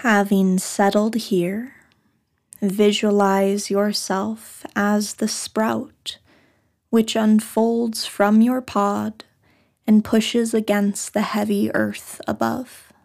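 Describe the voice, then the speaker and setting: A woman leading a guided meditation in a soft, breathy, soothing voice, speaking short phrases with long pauses. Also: medium pitch, flat delivery. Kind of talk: guided meditation, scripted narration.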